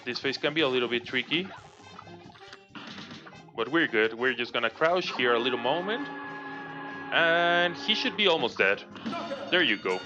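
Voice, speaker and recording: Spanish-accented Mexican man, 30s, relaxed live gameplay commentary; muffled stream audio over clear game music. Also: medium pitch, normal speed, long pauses. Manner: relaxed, chatty, laughs often, reads chat aloud. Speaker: speedrunner.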